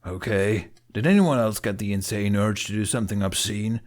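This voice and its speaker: A man's voice: fairly low pitch, normal speed, close to an everyday voice. A man voicing a crude, cheeky young male Viking in an audiobook, blunt and given to complaint.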